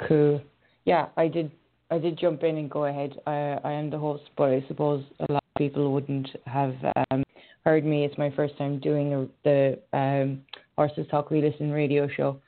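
A woman's voice: deep voice, little pitch movement, normal speed. An Irish woman hosting a casual online radio chat, talking hesitantly with many fillers and restarts. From Ireland. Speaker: radio show host and horse rider. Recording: phone-line call audio.

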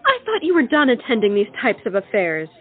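Woman acting excitable high-voiced young society hostess. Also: a little fast, breathy edge, exaggerated voice. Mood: excited, teasing. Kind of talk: theatrical comic period audio drama. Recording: tinny phone-like sound, music behind.

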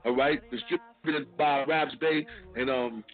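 A man hosting a radio show, giving casual shout-outs and plugs in an informal way with repeated catchphrases. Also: mid-range voice, fairly even intonation, a little slow. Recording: tinny phone-like radio audio, faint music.

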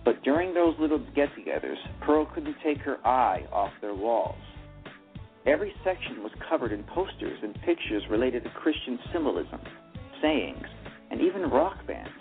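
A man's voice: mid-range voice, sing-song, normal speed, creaky. A man narrating a story, reading aloud and often stumbling over and repeating words. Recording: tinny phone line with faint music.

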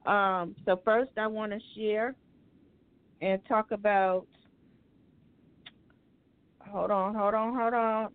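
A woman's voice: mid-range voice, fairly even intonation, slow pace, pauses often, clean tone, no echo. A woman hosting a casual radio talk show, loose and joking as she steers the segments. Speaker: radio host. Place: radio studio. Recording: tinny phone-like radio audio.

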